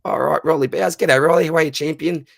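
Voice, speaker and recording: An Australian man hosts a live fantasy football stream in a husky, worn voice, greeting viewers by name and calling them mate and buddy. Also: on the high side, steady tone, fast talker, no echo, clean studio sound. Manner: friendly, matey, calls viewers mate and buddy. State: husky, voice going. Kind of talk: casual live stream chat reading viewer comments.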